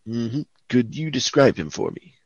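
A man acting a deadpan, polite knight detective in a parody of fifties radio police drama, with a husky voice. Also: medium pitch, normal speed, no room sound, lo-fi sound. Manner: deadpan, clipped police patter.

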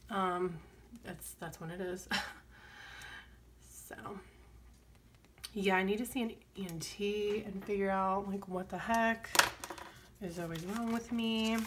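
A woman chats casually at home while planning. Her voice sounds strained and crackly, at times sinking lower than her usual range. She rambles along at a mid pitch with soft asides, and a faint hiss sits under the recording. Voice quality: strained, crackly voice that drops deep. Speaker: planner vlogger. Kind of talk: casual plan-with-me chatter. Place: home desk, camera overhead on planner.

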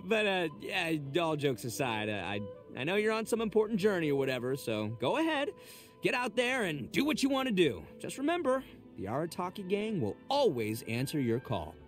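An actor playing a brash, boisterous young oni gang boss in a video game, bragging theatrically in slang with excitable asides. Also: male voice, sits high, fairly quick, soft background music. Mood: earnest, casual. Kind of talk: expressive video game character voice lines.

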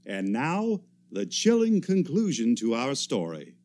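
A man's voice: mid-range voice, huge pitch swings, a little slow, darkish tone. A man announcing a radio play in a scripted, dramatic style.

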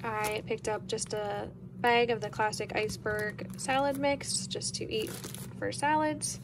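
Higher-pitched American woman, noisy home recording. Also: steady tone, measured pace. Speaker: home vlogger. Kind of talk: casual grocery haul and meal plan narration. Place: home kitchen, indoors. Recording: noticeable background noise, home kitchen.

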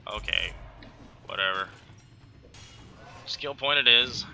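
A man in his thirties from Texas with a light Texan accent, chatting through gameplay commentary on a headset, full of quick exclamations and talk to himself. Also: medium pitch, creaky, bright, forceful tone. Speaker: gaming channel host.

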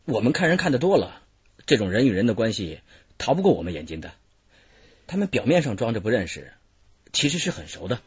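A man's voice: medium pitch, big pitch swings, fairly quick, long pauses, husky, dry recording. An actor playing a knowing, gossipy male hotel attendant as a witness in a radio drama. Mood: confiding. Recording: dull lo-fi radio drama recording.

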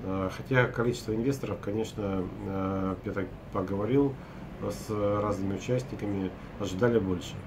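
Hesitant Russian man, low voice, room hum. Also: fairly even intonation, brisk. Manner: hesitant, many filler sounds and restarts. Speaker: property broker. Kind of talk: casual recap to camera. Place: hotel room at night, window behind.

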